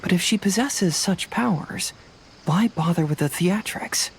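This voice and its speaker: An actor playing a composed young detective in a video game, explaining things in a measured, articulate, confident way. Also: male voice, sits high, a little hiss or hum, rough recording. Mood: analytical, assured.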